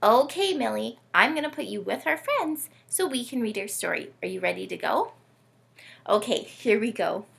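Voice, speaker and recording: Woman, children's read-aloud host. Very lively pitch, heavy creak, teacherly and question-led. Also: normal speed. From Canada. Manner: animated, teacherly, asks listeners questions. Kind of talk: children's storytime presenting.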